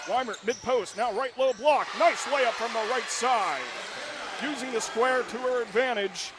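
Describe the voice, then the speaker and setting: A man with a high voice calls the action of a high school basketball game on radio. His play-by-play is fast and excited and jumps to shouts on the big plays. Gym noise and bouncing balls come through loudly behind him.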